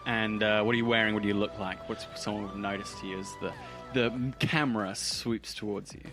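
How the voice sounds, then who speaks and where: A man's voice: lowish voice, steady tone, moderate pace, music in the background. A man narrating a tabletop roleplaying game as game master, informal and descriptive.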